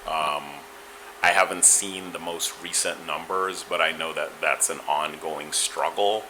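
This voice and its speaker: A middle-aged man from Guyana with a Caribbean accent, answering interview questions in a reflective, measured way, with frequent restarts. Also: a bass voice, fairly even intonation, noisy background. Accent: Caribbean, Guyanese and Trinidadian. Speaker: interview guest.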